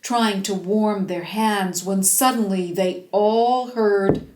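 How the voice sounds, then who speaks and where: Slow, dramatic woman storyteller. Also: mid-range voice, very noisy. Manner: dramatic, drawn-out emphasis, animated. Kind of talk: expressive fairy-tale storytelling for children. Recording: roomy, echoing space.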